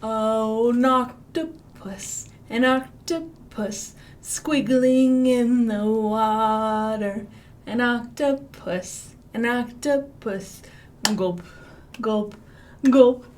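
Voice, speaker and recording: A woman from the American Midwest leading a storytime for young children as a librarian, chanting rhymes and songs in a sing-song way with big excited swoops. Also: a little high, drawn-out words, a little hiss or hum.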